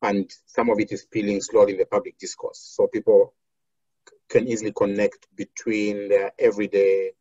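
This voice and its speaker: Deep, dark-voiced man from Zambia. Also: little pitch movement, slightly rough, dry recording, dull audio. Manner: measured, with many fillers and restarts. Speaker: foundation program officer. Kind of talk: interview answers.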